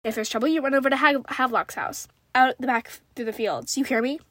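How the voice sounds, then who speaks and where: A woman's voice: high-pitched, quick pace, a bit bright, no echo. A woman reading a stern, protective frontier father in a storybook, with terse commands. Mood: stern, cautioning.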